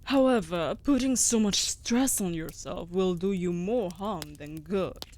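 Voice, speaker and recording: A woman's voice: fairly low pitch, measured pace, a little mellow, slight background noise, close to an everyday voice. A woman playing a mage's devoted wolf familiar in a fantasy audio drama, formal, firm and reassuring. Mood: worried, supportive. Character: female wolf familiar, protective, devoted and earnest. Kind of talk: scripted fantasy audio roleplay.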